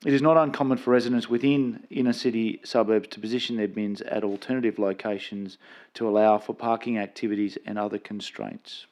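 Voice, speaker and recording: A man's voice: lowish voice, fairly even intonation, fairly quick, darkish tone. An Australian man giving official answers as a council director, bureaucratic and a little stumbling. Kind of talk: official replies at meeting.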